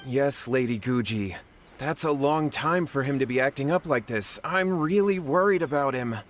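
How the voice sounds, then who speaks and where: A man's voice: medium pitch, normal speed, lightly acted. A man voicing a desperate young man in a video game, earnest and anxious as he pleads for help.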